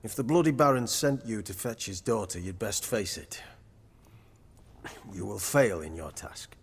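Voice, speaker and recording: An actor playing a condescending, zealous witch hunter in a video game, speaking formally and pompously in a rough voice. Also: fairly low pitch, measured pace, in a large hall, slight background noise. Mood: cold, condescending. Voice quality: husky, rough.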